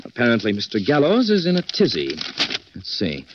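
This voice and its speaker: A sharp, self-assured young male sleuth of old radio mystery. His pitch moves in lively swings. The 1940s recording is dull with faint noise. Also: a little fast. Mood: wry, brisk. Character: quick-witted, assured amateur detective, son of a police inspector.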